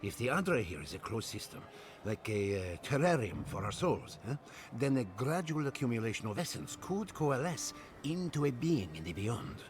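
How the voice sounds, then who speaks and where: An actor playing an eager, halting scholar who searches for words in a video game. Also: male voice, medium pitch, big pitch swings, a little hiss or hum, close to an everyday voice. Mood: eager, theorizing. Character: eager, hesitant male scholar with theories. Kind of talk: video game cutscene dialogue.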